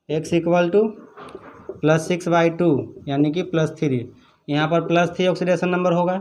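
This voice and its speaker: A man's voice: a little high, a little mellow. A man teaching chemistry step by step as an instructor, repeating his points for students. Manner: repetitive, instructive. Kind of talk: step-by-step exam problem lesson.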